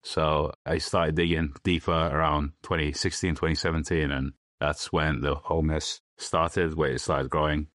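Young guy, super deep low voice, dry close sound, just talking it through plainly on a podcast. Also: flat delivery, moderate pace, hardly any pauses, a bit bright, no echo. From London, England. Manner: plain, matter-of-fact explaining with loose restarts. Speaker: guest. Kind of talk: podcast interview answers.

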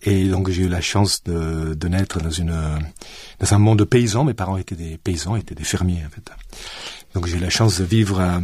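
A man's voice: low-pitched, normal speed, darkish tone, breathy edge, no room sound. A man with a southern French accent answering interview questions thoughtfully, often repeating words as he searches for them. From southern France. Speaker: guest.

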